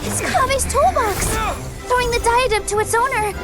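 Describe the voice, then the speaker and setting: A thrilled game commentator. She announces in an extremely high voice while very loud music plays underneath. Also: moderate pace. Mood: excited. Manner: excited announcing. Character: thrilled female competition commentator. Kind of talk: video game event commentary.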